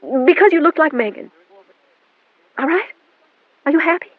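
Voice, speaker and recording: A woman's voice: a little high, moderate pace, no room sound, pitched above the performer's own voice, lightly acted. A woman reading an audiobook voices a frantic middle-aged mother, her lines pleading and rising, on a narrow, dry recording. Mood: desperate. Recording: narrow phone-like band, dry.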